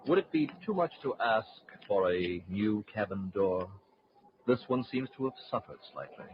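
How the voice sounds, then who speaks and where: Smooth icy polite husband w a secret, old radio drama, very swoopy pitch, muffled noisy tape. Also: male voice, sing-song. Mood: coolly polite. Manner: formal, measured, icily polite. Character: suave, coldly courteous husband hiding a secret.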